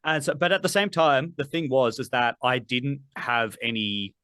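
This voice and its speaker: A man in his thirties talks through his story in a loose, meandering way, stacking up filler words and dropping casual curses. His voice sits at an ordinary middle pitch. The recording is dry and close, with no room sound around him. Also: normal speed, fairly bright tone, smooth, dry recording. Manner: rambling, filler-heavy, swears casually. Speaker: podcast guest, metal vocalist. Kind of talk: casual interview chat.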